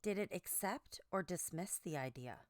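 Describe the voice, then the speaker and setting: Expressive lower-pitched woman reading an audiobook. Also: moderate pace, dry recording, clean studio sound. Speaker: narrator reading a book aloud. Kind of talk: scripted audiobook narration.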